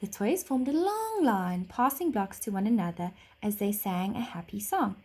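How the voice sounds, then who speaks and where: A woman narrating a children's story expressively, like a teacher reading aloud, with dramatic, deliberate phrasing. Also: mid-range voice, animated, darkish tone, a little hiss or hum.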